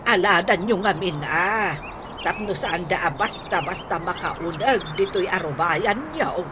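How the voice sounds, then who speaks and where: Actress as slow-talking Ilocano village neighbour, nosy, radio comedy, noisy background with birdsong. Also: female voice, fairly low pitch, lightly acted. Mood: agreeing. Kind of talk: comedy radio drama acting. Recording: noisy track with chirping birds.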